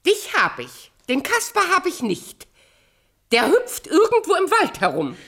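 Wicked fairy-tale queen for radio play: vain, commanding, scheming, big melodic swings, measured pace. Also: very high voice, wildly swooping pitch, a bit bright, exaggerated voice. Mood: imperious, threatening. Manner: theatrical, commanding, swinging between outcry and hiss.

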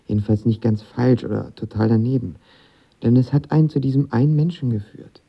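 Radio drama lead: a confessional, lovestruck twenty-year-old, insecure and intense, slightly muffled sound. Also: male voice, medium pitch, fairly even intonation, a little fast, creaky, breathy edge. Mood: anxious, pleading. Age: young adult. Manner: earnest, confessional. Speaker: lead actor and narrator. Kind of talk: radio drama, intimate narration and dialogue.

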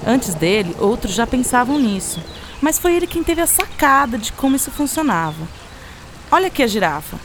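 A woman's voice: a little high, sing-song, moderate pace, noisy background. An actress with a Brazilian accent playing a friendly, knowledgeable woman who patiently explains things in conversational radio drama dialogue, with some teasing. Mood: patient explaining.

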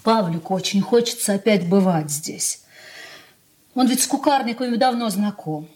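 Radio drama: nosy, discontented married daughter, played by a woman, mid-pitched, brisk, complaining. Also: a little mellow, natural voice. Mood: prying. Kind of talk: radio play acting.

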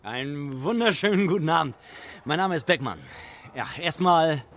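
Radio comedy role: small-town dance-band leader, high voice, animated, eager and matey. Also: male voice, moderate pace. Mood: eager, jovial.

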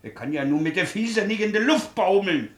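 Desperate ex-convict shoemaker, 1950s radio play; high voice, colloquial, pleading, roomy sound. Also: male voice, expressive, normal speed. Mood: agitated, stubborn. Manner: colloquial, ungrammatical, plaintive.

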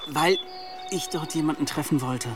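A man's voice: fairly high pitch, moderate pace, music in the background, lightly acted. A man playing an anxious, guilt-ridden young monk in a German radio play, hesitant and pleading. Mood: cornered, stammering.

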